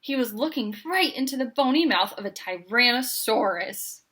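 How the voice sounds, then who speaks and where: A woman's voice: a little high, expressive, normal speed, a bit bright, slightly rough. A woman reading a children's picture book aloud as a storyteller.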